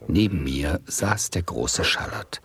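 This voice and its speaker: A man's voice: extremely low pitch, animated, takes it slowly, a bit bright, exaggerated voice. A man playing a nervous, ironic amateur speculator who tells his own story in a German radio play. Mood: dry, ironic. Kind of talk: 1970s German radio play narration and dialogue.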